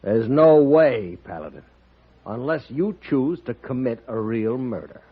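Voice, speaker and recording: An actor playing a smug, slippery moneyed villain in a 1950s radio western, with a husky voice. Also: male voice, medium pitch, animated, a little slow, faint music behind, close to an everyday voice. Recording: muffled old radio recording.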